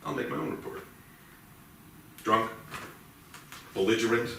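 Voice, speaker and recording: An actor playing an aggressive, embittered police officer in a staged reading, sneering and confrontational. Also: male voice, mid-range voice, unhurried, fairly bright tone, natural voice. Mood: sneering, menacing. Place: theatre stage, recorded from a distance. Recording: distant mic, echoey theatre, clear noise.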